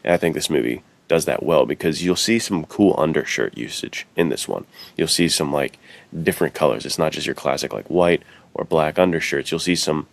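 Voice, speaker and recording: A man's voice: very deep voice, fairly quick, gravelly. A man co-hosting a podcast in casual, slangy chat, often saying "dude" and "like". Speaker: podcast co-host.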